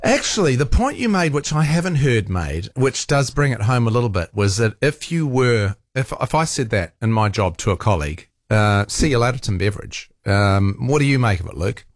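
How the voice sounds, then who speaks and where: A man's voice: medium pitch, sing-song, normal speed, hardly any pauses, no room sound, clean studio sound. A man, hosting a panel discussion and giving strong opinions. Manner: opinionated, many fillers and restarts. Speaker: host.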